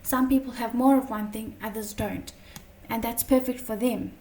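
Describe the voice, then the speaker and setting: A woman teaching a tarot lesson in a chatty, casual way, her voice slightly croaky from a long day of talking, often checking in with "okay?". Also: a little high, steady tone, moderate pace, darkish tone, far from the mic. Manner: chatty, many fillers and "okay?" check-ins.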